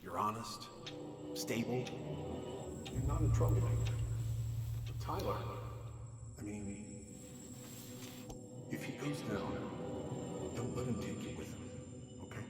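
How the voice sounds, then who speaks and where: A man's voice: medium pitch, animated, slow, pauses often, over loud music. An actor playing a concerned, fatherly middle-aged police officer in a naturalistic video game cutscene. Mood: gentle warning.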